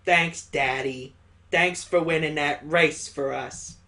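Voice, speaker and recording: A man's voice: fairly high pitch, fairly even intonation, takes it slowly, bright, forceful tone, full character voice. A man voicing a plucky young daughter in a visual novel, frank and affectionately scolding. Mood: fond, earnest. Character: plucky, teasing young daughter of a weary father.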